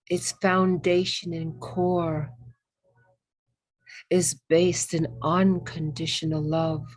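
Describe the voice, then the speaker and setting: A woman leading a spiritual guided meditation in a gentle, soothing, reverent manner, with long pauses between short phrases. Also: on the low side, slightly rough, low-quality recording. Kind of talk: guided meditation with ASMR elements.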